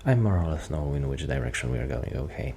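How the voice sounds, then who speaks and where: A man doing a soft-spoken, half-whispered ASMR roleplay as a shy, quiet musician friend, rambling hesitantly and interrupting himself. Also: a bass voice, lively intonation, quick pace, vocal fry, a little hiss or hum, exaggerated voice. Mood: shy, curious.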